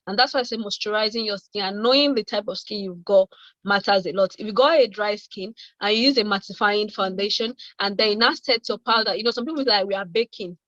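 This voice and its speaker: A woman's voice: medium pitch, a bit bright, no echo. Female guest adviser, mid-range voice, informal, muffled dry call sound. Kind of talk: informal spoken advice. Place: online video call. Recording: dry, dull lo-fi call audio.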